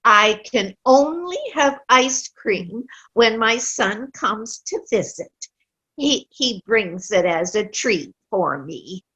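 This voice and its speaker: A woman's voice: a little high, lively intonation, fairly bright tone, husky, muffled recording, exaggerated voice. A woman playing a querulous, sour old woman who complains about her pains in a comic play reading. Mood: defensive, fussy. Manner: querulous, slow, dramatic complaining. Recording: video call play reading.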